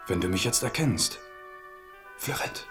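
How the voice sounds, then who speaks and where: A man's voice: fairly low pitch, wildly swooping pitch, unhurried, over music. An actor playing an amorous young French king in a German radio play, playful and teasing as he woos. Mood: wistful. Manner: wooing, teasing.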